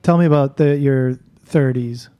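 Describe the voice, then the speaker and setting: A man's voice: medium pitch, a little slow, clear, resonant tone, dry recording. A man in his seventies, co-hosting a radio show with casual, hesitant, rambling banter and frequent laughs. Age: 70s. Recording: loud guitar music behind.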